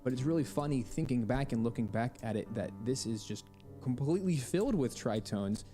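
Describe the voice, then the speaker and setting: A man's voice: mid-range voice, animated, brisk, mellow. A man speaking as a composer on a podcast, explaining his music in a conversational way. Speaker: composer interviewed as podcast guest. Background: music, with guitar.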